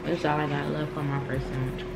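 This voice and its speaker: A woman's voice: deep voice, level tone, a little fast, creaky, very noisy. A young woman co-hosting a casual food review vlog, chatty and teasing, with easy slangy talk, seated at a table close to the camera indoors. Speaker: vlog co-host.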